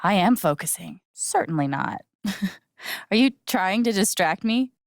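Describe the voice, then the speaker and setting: Casting: wry, candid young woman for a narrative game, slow conversational delivery, natural mid-range voice, very dry sound. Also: medium pitch, lively intonation, creaky, no echo. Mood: teasing, friendly. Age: young adult. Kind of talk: naturalistic video game acting.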